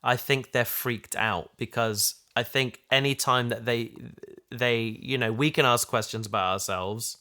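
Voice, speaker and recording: Male writer-host, medium pitch, informal discussion, halting delivery with repeated starts. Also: bright, forceful tone. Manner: halting, repeats and restarts phrases while thinking aloud. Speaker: writer and host of a sci-fi audio drama discussion. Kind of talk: casual discussion.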